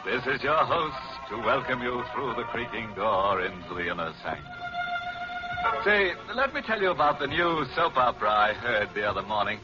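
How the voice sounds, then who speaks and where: A man's voice: medium pitch, big pitch swings, music in the background, close to an everyday voice. A man playing a gleefully macabre, punning radio horror host in a theatrical 1940s style, on a muffled old broadcast. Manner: theatrical, slow, ghoulish joking.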